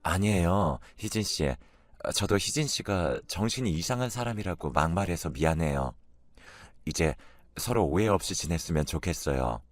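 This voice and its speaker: A man's voice: low-pitched, animated, normal speed, dry recording. A man voicing an earnest, naive married working woman, plain and restrained, in dramatised story dialogue. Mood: conciliatory.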